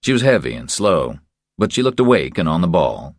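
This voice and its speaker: Male narrator, very low voice, crime audiobook reading, close mic, dry sound. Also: big pitch swings, normal speed, no echo. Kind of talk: scripted crime fiction narration.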